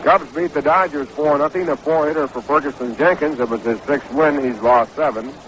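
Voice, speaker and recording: A man's voice: a little high, fairly quick. 1960s radio baseball announcer, man, noisy recording. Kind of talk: 1960s radio sports commentary. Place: ballpark broadcast booth.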